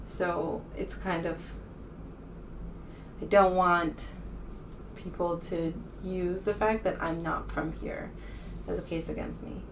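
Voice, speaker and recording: Woman from the US, lowish voice, casual vlog chat, hesitant and informal, faint background noise. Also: steady tone, slow, vocal fry, pauses often. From United States. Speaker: vlogger. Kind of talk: casual rambling vlog talk to camera. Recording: distant mic with faint room noise.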